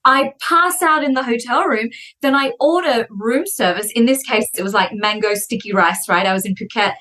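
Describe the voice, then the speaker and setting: A woman in her thirties, a podcast guest chatting in an animated, fast storytelling way. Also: fairly high pitch. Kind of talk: casual conversation.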